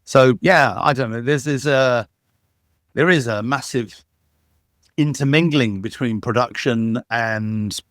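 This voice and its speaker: A man's voice: medium pitch, slow pace, fairly bright tone, no room sound, studio quality. A man who works as a sound engineer, talking as an interview guest, telling enthusiastic, rambling stories full of restarts and fillers. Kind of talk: casual interview conversation.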